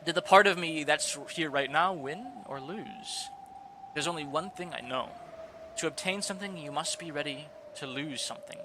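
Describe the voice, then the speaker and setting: A man reading aloud the quiet, solemn inner monologue of a brooding young male servant, with a steady hiss behind. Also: a little high, moderate pace, lightly acted. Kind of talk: reading a visual novel aloud.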